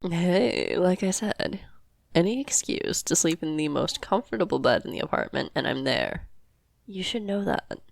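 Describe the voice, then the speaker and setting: A woman plays a devoted, easygoing girlfriend in an audio drama. Her voice is slightly low and gravelly with fry. She talks casually and reassuringly, in a very dry recording. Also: big pitch swings, moderate pace, no room sound, heavily acted. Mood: playful, fond. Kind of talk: amateur audio roleplay acting.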